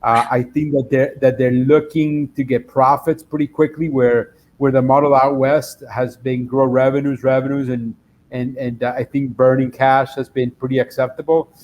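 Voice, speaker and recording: A man's voice: medium pitch, little pitch movement, moderate pace, darkish tone, muffled recording. A man speaking as an investor on a panel, eager and often restarting his sentences. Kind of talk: panel discussion.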